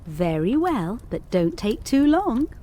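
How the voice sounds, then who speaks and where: A woman playing an earnest young governess in a gothic period audio drama, speaking in formal, measured diction. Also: on the high side, very animated, dark tone, full character voice. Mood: kindly. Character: young pretty governess, earnest, questioning, protective.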